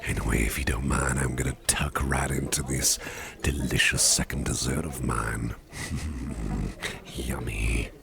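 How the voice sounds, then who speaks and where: A man playing a suave, doting gentleman businessman boss in an ASMR roleplay, soft-spoken, courteous and unhurried. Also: steady tone, crowd noise, exaggerated voice. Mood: pleased, indulgent. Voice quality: very deep, gravelly, breathy at times. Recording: clear background ambience noise.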